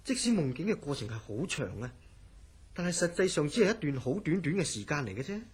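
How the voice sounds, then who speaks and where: A very raspy-voiced skeptic in a mystery radio play. His pitch moves freely as he explains things rationally, with a doubting, amused air. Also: big pitch swings, quick pace, exaggerated voice. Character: skeptical, rational man explaining away strange dreams. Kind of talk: Cantonese horror radio drama acting.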